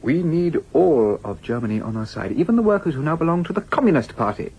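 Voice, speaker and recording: An actor playing a fanatical, domineering male political leader in an audio drama, declaiming with dramatic pauses and hushed intensity. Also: fairly high pitch, sing-song, creaky, slightly rough, heavily acted, rough recording. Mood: commanding.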